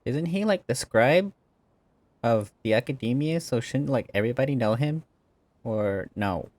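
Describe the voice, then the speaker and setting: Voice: medium pitch, expressive, normal speed, a little mellow. A gaming channel host making playful, joking asides while playing a game. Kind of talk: reacting over gameplay.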